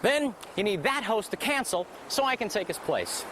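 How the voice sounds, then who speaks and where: A man's voice: sits high, sing-song, moderate pace, noisy background. A man hosting with jokes and self-mocking asides. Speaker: host. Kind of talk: comic TV hosting.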